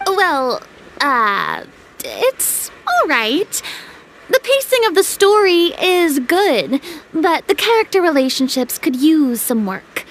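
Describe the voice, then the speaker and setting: A woman's voice: sits high, very animated, slow, a little hiss or hum, heavily acted, rough recording. A woman playing a proud, theatrical young star turned film director in a video game, haughty and self-important. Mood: haughty, deliberating.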